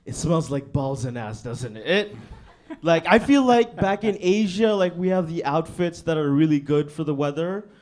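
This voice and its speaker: Young male stand-up from Bangladesh, a little higher-pitched than most men, deadpan and laid-back, working a club mic. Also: normal speed. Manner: laid-back, deadpan, frequent "you know what I mean". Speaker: stand-up comedian. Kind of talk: stand-up set. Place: comedy club stage.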